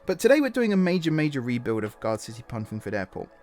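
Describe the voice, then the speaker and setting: A man's voice: mid-range voice, sing-song, fast, a little mellow, faint music behind. Chatty man narrating gameplay. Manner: rambling, self-correcting, chatty. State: slightly hoarse. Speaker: gaming channel host. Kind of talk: casual gameplay voice-over. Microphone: desktop voice-over mic.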